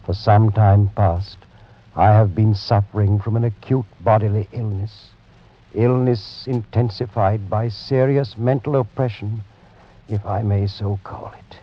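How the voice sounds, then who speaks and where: A man's voice: fairly low pitch, fairly even intonation. An actor playing a sick, desperate nobleman pleading with an old friend by letter, in 1940s radio drama style. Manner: pleading, grave, slow reading of a letter. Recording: muffled old radio broadcast.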